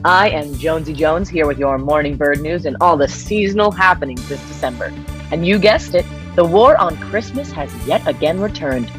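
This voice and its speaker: A woman's voice: low voice, lively intonation, moderate pace, soft background music. A woman playing an opinionated morning news anchor in a comic radio play, with a brash, over-the-top presenter delivery. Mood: brash, announcing.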